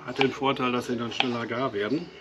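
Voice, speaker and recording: A man's voice: mid-range voice, fairly even intonation, a little fast, dull audio, background noise. A man from Westphalia with a Westphalian German accent, casually explaining an outdoor cooking demonstration with fillers and small self-corrections. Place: outdoors at a camp stove. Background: music and cooking sizzle.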